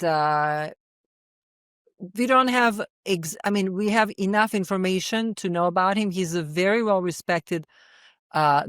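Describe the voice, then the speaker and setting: A woman's voice: on the low side, no echo, clean studio sound. A woman from Serbia with a Serbian accent, a filmmaker being interviewed. She often hesitates, restarts and repeats words. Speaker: filmmaker guest.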